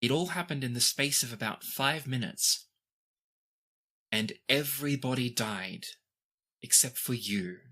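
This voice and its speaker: A man voicing a gracious, formal princess in a tabletop game, speaking with measured, courtly diction. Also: medium pitch, sharp tone. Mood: grave, concerned. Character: formal, gracious young royal princess, concerned for her brother.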